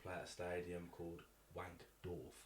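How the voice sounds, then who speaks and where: Low-voiced giggly young man, distant and roomy. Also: completely flat delivery, moderate pace, a little hiss or hum. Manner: giggly, easily amused, sweary. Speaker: podcast co-host. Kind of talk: casual chat.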